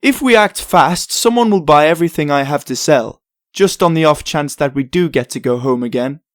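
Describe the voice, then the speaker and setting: A man plays a vain, smooth-talking prince in a comic dub, pitched a little high. He sounds suave and pleased with himself, in a dry, close recording. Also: normal speed, no room sound, natural voice. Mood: scheming, eager. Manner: suave, self-satisfied.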